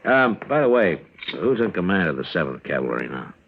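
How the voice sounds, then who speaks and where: Casting: grave western lawman, deep and terse, old-time radio western with muffled sound. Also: very animated, fairly quick, gravelly, breathy edge, heavily acted. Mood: curious, thoughtful. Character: stern, grave western U.S. marshal. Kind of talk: 1950s radio drama acting. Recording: dull vintage radio recording.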